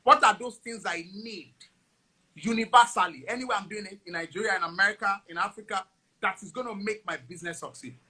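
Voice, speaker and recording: A man's voice: sits high, brisk, pushed and bright, slightly rough. A man hosting a casual interview, asking eager questions and reacting with exclamations. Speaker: host.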